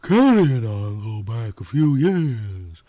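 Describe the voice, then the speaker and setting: A man's voice: medium pitch, very animated, takes it slowly, dark tone. A man doing a drawling southern flirt. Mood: coy. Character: flirty red-haired woman in her thirties.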